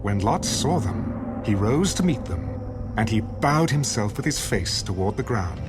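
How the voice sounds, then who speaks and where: A man giving dramatic scripted scripture narration in a solemn, measured storytelling manner. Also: lowish voice, sing-song, music in the background.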